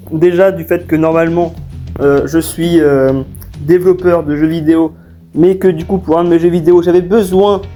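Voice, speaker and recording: Young man, fairly high voice, mellow tone, hesitant, informal explainer, faint music. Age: young adult. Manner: hesitant, halting delivery. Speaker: fan dub creator. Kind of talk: informal question-and-answer explanation.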